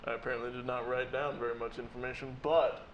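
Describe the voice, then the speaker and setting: A man's voice: medium pitch, level tone, fast talker, echoey room, dull audio, over a noisy crowd. A man playing a tabletop game, teasing and dry as he speaks in character and describes his hero. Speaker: player.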